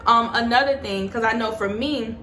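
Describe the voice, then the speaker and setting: A woman's voice: on the high side, normal speed, pushed and bright. Chatty woman in her twenties, echoey room. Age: 20s. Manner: chatty, emphatic, addresses viewers as y'all. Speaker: vlogger. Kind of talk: casual advice chat to camera.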